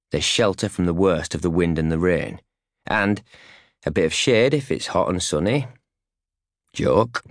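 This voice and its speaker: Male audiobook narrator, very low pitch, terse clipped phrasing, close dry studio sound. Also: no room sound. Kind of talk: scripted first-hand fiction narration in second person. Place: recording studio.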